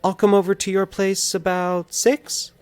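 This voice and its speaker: A man voicing a soft-spoken, hopeful young woman in an audio drama, with a hushed, breathy tone. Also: high voice, level tone, unhurried, dry recording, pitched above the performer's own voice. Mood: shy, hopeful. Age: young adult.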